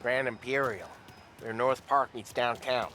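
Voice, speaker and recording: A man's voice: mid-range voice, fairly even intonation, measured pace, pushed and bright, a little hiss or hum. An actor playing a restless, hot-tempered gangster in his thirties with an American accent, in a dramatic game cutscene. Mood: earnest, persuading. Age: 30s. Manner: tough street slang, blunt. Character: hot-tempered, restless gangster nearing forty, small-time crook.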